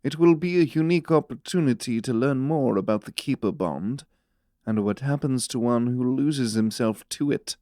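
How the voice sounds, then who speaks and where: A man playing a calm, authoritative and cryptic government minister in a fantasy audio drama, speaking in a measured, solemn way. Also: medium pitch, dry recording, studio quality, natural voice. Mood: cold authority.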